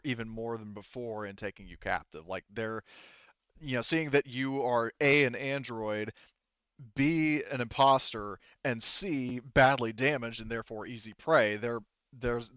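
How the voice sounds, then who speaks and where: A man with a clear, smooth voice referees a tabletop game from close on a headset. He talks in halting bursts, working out rules aloud. The sound is dry and a little muffled. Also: mid-range voice, fairly bright tone, no room sound. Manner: halting, thinking aloud while checking rules. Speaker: game master. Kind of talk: narrating and refereeing an online tabletop roleplaying game. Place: online voice call. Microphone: gaming headset.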